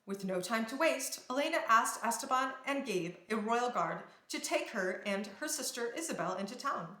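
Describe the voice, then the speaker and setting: Female storybook narrator, higher-pitched and very animated, careful read-aloud in a roomy space. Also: moderate pace. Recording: roomy indoor echo.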